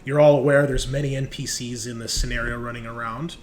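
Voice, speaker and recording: A man with an average-pitched voice hosts a tabletop gaming podcast. He talks casually and jokingly, like someone among friends. Clear noise sits behind his voice. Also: steady tone, fairly quick. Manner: chatty, informal, jokey. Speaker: host and game master of a tabletop roleplaying show. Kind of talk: casual podcast introduction and banter. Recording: clearly noisy background.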